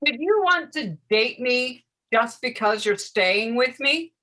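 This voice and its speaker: A woman's voice: on the high side, lively intonation, takes it slowly, bright, forceful tone, exaggerated voice. A woman acting in a play reading as an exasperated, sardonic parent of a teenager, blunt and dry in clipped, skeptical lines. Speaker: actor. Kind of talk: staged play reading, naturalistic dialogue.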